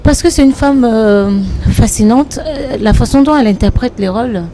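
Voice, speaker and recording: A woman actress answering interview questions in a reflective, hesitant way with many pauses. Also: a little high, dark tone. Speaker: actress and comedian.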